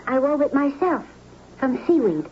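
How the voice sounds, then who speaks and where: A mysterious young maiden of the sea, speaks high, gentle and full of longing. She pleads earnestly in 1970s radio drama style. The recording is dull, with clear background noise. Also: very animated, moderate pace. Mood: shy, polite. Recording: noisy, band-limited 1970s radio broadcast recording.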